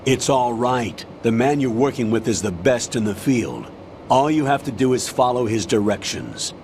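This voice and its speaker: A man's mid-pitched voice acted for a video game with faint noise behind it. He is a stern colonel who briefs and orders firmly, formal and authoritative. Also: moderate pace, darkish tone, natural voice. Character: stern military colonel, firm and brisk commander. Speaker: colonel, commanding officer.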